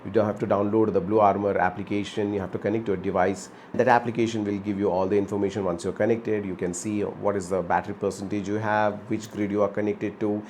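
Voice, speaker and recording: A man's voice: on the low side, level tone, quick pace, few pauses, darkish tone, slight background noise. A man with an Indian accent giving a conversational product review as a vlog host, repeating words for emphasis and often adding "you know". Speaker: host of a motorbike gear review channel.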